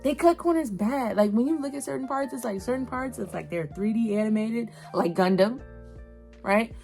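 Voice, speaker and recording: A woman's voice: fairly high pitch, moderate pace, vocal fry. A young adult woman giving casual video commentary in a slangy, emphatic, conversational way, often repeating phrases for emphasis. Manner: animated, slangy, emphatic repetition. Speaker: YouTube host reviewing an animated series. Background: background music.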